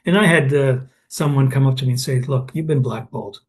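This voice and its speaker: A man's voice: medium pitch, brisk. An older man from Canada in an oral history interview, telling anecdotes with many restarts and fillers.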